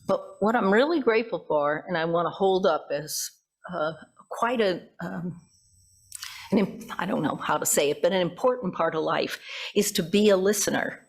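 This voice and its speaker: A woman's voice: on the low side, moderate pace, slightly rough. A woman pastor preaching from a pulpit in a conversational way, with chatty asides and self-corrections to the congregation. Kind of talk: sermon, conversational with scripted passages. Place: church pulpit.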